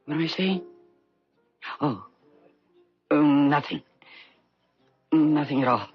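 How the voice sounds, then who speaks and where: An actress playing a flustered, dreamy secretary with a husky voice and halting delivery in 1970s radio drama. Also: female voice, low voice, expressive, faint music behind. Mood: uneasy, knowing. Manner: halting, distracted. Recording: dull 1970s radio recording.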